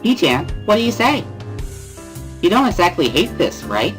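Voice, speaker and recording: A man's voice: high-pitched, very animated, normal speed, fairly bright tone, soft background music, voice pushed up. A man voicing a bubbly, talkative, boisterous teenage schoolgirl in an amateur dramatic reading of a visual novel. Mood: earnest, softer. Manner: exuberant, talkative, boisterous laughing.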